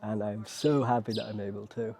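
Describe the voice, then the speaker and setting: A British man from Wales talking in a relaxed, conversational, appreciative way, like a casual travel vlog. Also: on the low side, fairly quick, a little hiss or hum. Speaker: travel vlogger. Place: outdoors and inside rock-cut tombs at a desert site.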